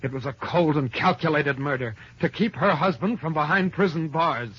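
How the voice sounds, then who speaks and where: A man's voice: fairly high pitch, normal speed, husky. A man playing an earnest, anguished young accountant in an old radio detective drama, dramatic and insistent. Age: young adult. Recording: dull, muffled old radio recording.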